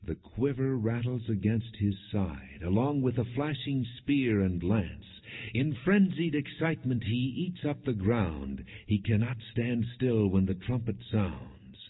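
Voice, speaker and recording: A man reading scripture as an audiobook narrator in a solemn, measured, declamatory manner. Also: on the low side, big pitch swings, husky. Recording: muffled, narrow phone-like sound.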